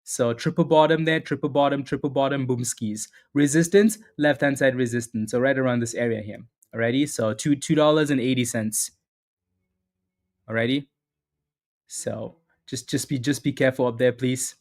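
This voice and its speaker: Man, trading stream host, casual, upbeat, repeats phrases, slightly hoarse, mid-range voice. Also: medium pitch, fairly even intonation, moderate pace, a little mellow. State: slightly hoarse, clearing throat. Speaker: stock trading livestream host. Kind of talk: live market commentary with chat shout-outs.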